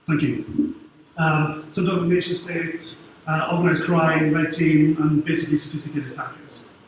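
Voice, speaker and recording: A man's voice: a little high, little pitch movement, a little hiss or hum. Welsh man talking in an echoey hall. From Wales. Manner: quick, informal, dry humour. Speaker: conference speaker. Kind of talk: conference talk. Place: large dark conference hall. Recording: very echoey hall, distant mic.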